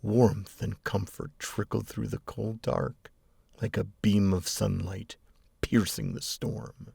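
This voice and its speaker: Slow, gravelly low-voiced male narrator. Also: fairly even intonation, warm tone, dry recording, clean studio sound. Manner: measured, grave, dramatic. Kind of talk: scripted dramatic story narration. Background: music.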